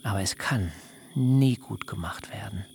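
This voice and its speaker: Ein Schauspieler spielt in einem Hörspiel einen verletzten jungen Bräutigam, der gedämpft und schwer klagt. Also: male voice, on the low side, measured pace, creaky. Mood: anguished. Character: aggrieved young bridegroom, bitter and pleading. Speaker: actor in a radio play. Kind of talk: literary radio drama acting.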